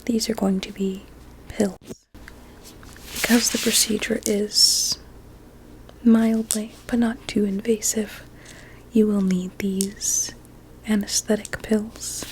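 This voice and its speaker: A woman playing a sweetly coaxing, sinister doctor in an ASMR roleplay, with a deliberate, soothing and formal manner. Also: medium pitch, flat delivery, a little mellow, a little hiss or hum, low-quality recording. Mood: calmly clinical. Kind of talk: whispered ASMR medical roleplay.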